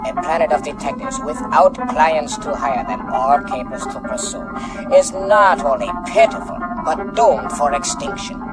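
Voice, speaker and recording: A man's voice: on the high side, normal speed, natural voice, low-quality recording. A man playing a smug, scheming android colonel in a radio play, speaking in formal, florid, condescending phrases. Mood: smug, grandiloquent. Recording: music behind the voice.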